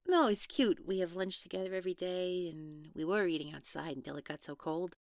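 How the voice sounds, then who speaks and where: A woman playing a guarded, awkward young woman in an audio drama, speaking naturally in a halting, hesitant way. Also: fairly low pitch, no room sound, studio quality, lightly acted. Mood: bashful, fond. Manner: hesitant, halting, trailing off, nervous laughs. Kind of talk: naturalistic one-sided audio roleplay acting.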